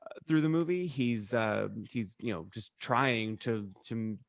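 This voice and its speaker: A man's voice: medium pitch, lively intonation, unhurried, dry recording, studio quality. A man co-hosting a podcast, telling rambling anecdotes in a casual, comedic chat with a wry manner and ready laugh. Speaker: podcast co-host.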